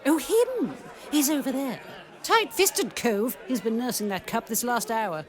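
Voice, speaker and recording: An actress playing a brash, wry and bawdy coffee-house keeper in a period audio drama, loud, bossy and no-nonsense. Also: female voice, fairly high pitch, animated, unhurried. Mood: dry.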